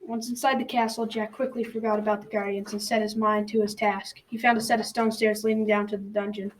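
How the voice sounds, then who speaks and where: A woman's voice: mid-range voice, little pitch movement, fairly quick. A young teenage girl reading scripted folktale narration for a school radio drama. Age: young teen. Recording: noisy, distant mic with clicks.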